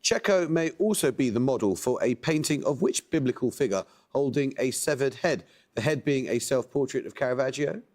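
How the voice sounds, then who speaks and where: A man hosting a quiz show, reading questions and introductions with a measured, precise delivery. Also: medium pitch, expressive, darkish tone.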